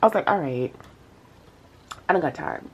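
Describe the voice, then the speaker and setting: A woman's voice: fairly low pitch, lively intonation, normal speed, darkish tone, slightly rough, dull audio. A young woman with a stuffy nose chatting casually to camera about her spending, slangy and addressing viewers as y'all. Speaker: budgeting vlogger.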